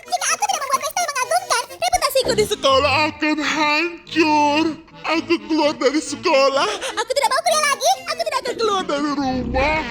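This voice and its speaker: A spoiled cartoon teenage girl, whiny and demanding. The voice is high and swoops dramatically, with music underneath. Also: big pitch swings, fairly quick, few pauses. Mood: dramatic whining. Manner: dramatic, pleading. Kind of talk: Indonesian cartoon dubbing. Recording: music behind the voice.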